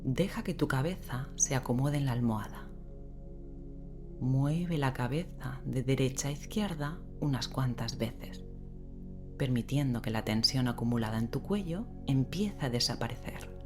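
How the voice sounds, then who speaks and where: Very low-voiced woman guiding sleep meditation. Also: animated. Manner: gentle, unhurried, with long pauses. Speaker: guided meditation instructor. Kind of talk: guided sleep meditation, slow soothing instruction. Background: relaxing rain sound and soft music.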